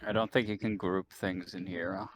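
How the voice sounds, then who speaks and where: Low-voiced man in noisy game chat. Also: flat delivery, normal speed. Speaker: player in a tabletop game. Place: online voice call.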